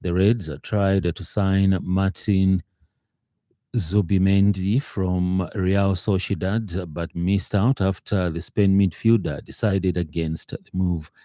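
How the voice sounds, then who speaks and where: Deep, mellow male newsreader. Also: fairly even intonation, takes it slowly, no echo, clean studio sound. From Zambia. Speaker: radio news reader. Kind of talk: formal scripted news bulletin. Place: radio studio.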